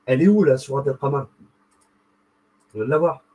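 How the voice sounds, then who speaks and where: Husky-voiced man, rambling and animated. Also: medium pitch, normal speed, low-quality recording. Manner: rambling, animated, slangy, addresses viewer directly. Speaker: video host. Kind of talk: casual explanatory monologue.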